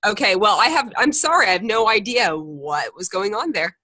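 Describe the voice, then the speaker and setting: A woman's voice: mid-range voice, expressive, fairly quick, sharp tone, dry recording. An American woman with a chatty, informal, self-deprecating way of talking, giving a casual motivational talk to a group on a conference call. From Ohio, United States. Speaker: secondary teacher and podcaster leading a group call.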